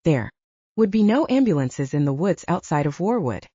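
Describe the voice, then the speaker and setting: A woman's voice: sits low, very animated, moderate pace, warm tone, breathy edge, no room sound. A woman reading scripted fiction expressively as an audiobook narrator, in a dull, muffled recording.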